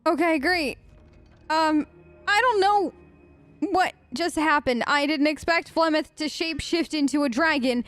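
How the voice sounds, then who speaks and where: A woman gamer hosting a role-play let's play, conversational, expressive and emphatic. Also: high-pitched, measured pace. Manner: expressive, emphatic, incredulous asides. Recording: close dry mic, faint game music. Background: faint game music.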